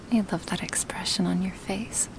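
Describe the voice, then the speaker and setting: Woman voicing a half-whispering, unhurried gentle dragon girl. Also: medium pitch, low-quality recording. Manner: slow, formal, careful phrasing. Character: gentle, apologetic female dragon, formal and tender. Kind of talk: soft-spoken ASMR roleplay.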